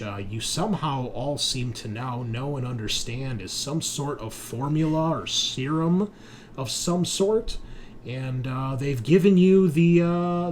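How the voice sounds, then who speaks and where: Man, mid-pitched voice, game master, informal tabletop recap, conversational storyteller, some background noise.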